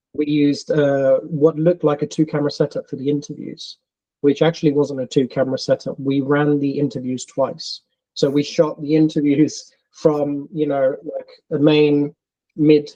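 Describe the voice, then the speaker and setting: A man's voice: fairly high pitch, warm tone. Hesitant London-accented man on a dull call. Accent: London English. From England. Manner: hesitant, many ums and restarts. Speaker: filmmaker guest. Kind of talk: casual interview answers.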